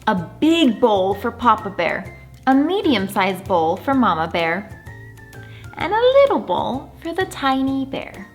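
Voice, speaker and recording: A woman reading a children's storybook aloud in an animated, deliberate storytelling manner, drawing out words for emphasis. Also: a little high, soft background music. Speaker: children's story reader and channel host.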